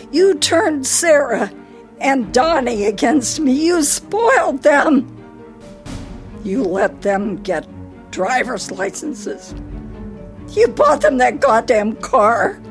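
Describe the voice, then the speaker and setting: Forgetful older wife in a radio play, high voice, very up and down, agitated and muddled. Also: female voice, heavily acted. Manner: agitated, swinging between accusation and childlike politeness. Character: confused, accusing older wife with failing memory.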